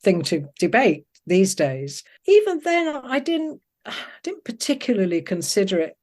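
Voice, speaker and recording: An older woman talking reflectively in an interview, thoughtful and often pausing to search for her words. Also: mid-range voice, very animated, a little mellow. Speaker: interviewed poet.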